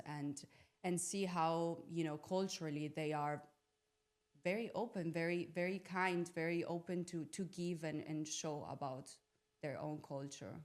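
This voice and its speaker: A woman's voice: deep voice, a little mellow. A young woman from Italy talking casually and reflectively as a podcast guest, informal and often searching for her words. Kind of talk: casual personal reflection on living abroad. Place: podcast studio.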